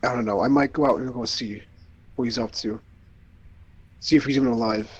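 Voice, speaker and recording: A man with a husky voice plays his character in a tabletop game, halting and unsure. His mic sounds dull and lo-fi. His voice sits in a middle range. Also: medium pitch, fairly even intonation. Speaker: player. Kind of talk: in-character role-play.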